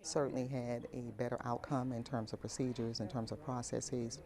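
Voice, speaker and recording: A middle-aged woman with an American accent answers an interview in measured, official phrasing in a meeting room. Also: extremely low pitch, little pitch movement, warm tone. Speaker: elections official.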